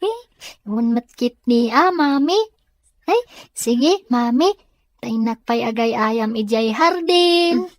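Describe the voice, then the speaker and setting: A woman's voice: fairly high pitch, expressive, slow, dry recording, clean studio sound. A woman playing an innocent, pleading small child in an audio drama. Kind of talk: Ilocano audio drama acting.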